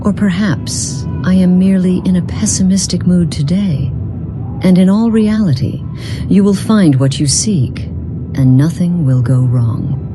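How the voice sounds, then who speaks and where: A woman's voice: on the low side, animated, music in the background. A woman voicing a lonely, long-lived otherworldly being in a role-playing game, speaking in formal, philosophical phrases. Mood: grave, musing. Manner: formal, philosophical, measured wording. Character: weary, long-lived, lonely woman from beyond the mortal world.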